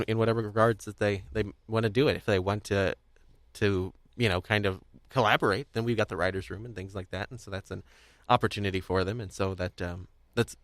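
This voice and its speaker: A man hosting a conversational podcast interview, speaking in a halting, rambling way as he searches for words. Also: low voice, a bit bright, smooth, no echo, clean studio sound. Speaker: podcast host.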